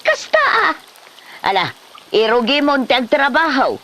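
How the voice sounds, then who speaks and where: A woman plays a bossy Filipino aunt in an Ilocano comedy drama. Her high voice moves animatedly up and down as she scolds and gives orders. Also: wildly swooping pitch, moderate pace, pushed and bright, exaggerated voice. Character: bossy aunt handing chores to lazy man.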